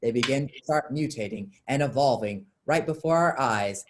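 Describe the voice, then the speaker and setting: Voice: mid-range voice, normal speed, a little hiss or hum. A narrator reading scripted stage directions for a staged play reading.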